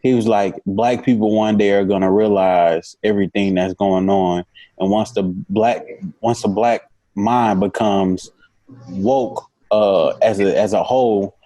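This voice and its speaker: A man's voice: lowish voice, unhurried, rough recording. A young man co-hosting a podcast, talking casually with lots of fillers and restarts. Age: young adult. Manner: relaxed, filler-heavy storytelling. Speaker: podcast co-host. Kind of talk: casual chat.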